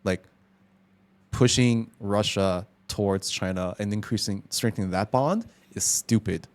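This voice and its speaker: A man with a fairly low voice hosts a relaxed podcast conversation. He speaks bluntly and keeps checking agreement with "right?" as he lays out his views. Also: a little slow. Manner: frank, opinionated, says "right?" often. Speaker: podcast host. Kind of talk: casual conversation.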